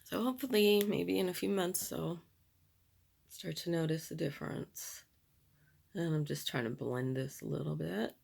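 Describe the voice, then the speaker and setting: A middle-aged woman with a raspy voice, chatting candidly while doing her makeup, in a slow, rambling manner. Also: deep voice, darkish tone. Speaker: beauty vlogger.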